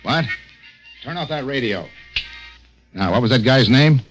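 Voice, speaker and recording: A man's voice: mid-range voice, expressive, unhurried, lightly acted. Man playing brusque 1940s radio police detective. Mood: businesslike, insistent. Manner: clipped, commanding, slangy. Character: tough, streetwise adult police detective, brusque but loyal. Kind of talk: 1940s radio crime drama acting. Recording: vintage 1940s radio recording with music behind.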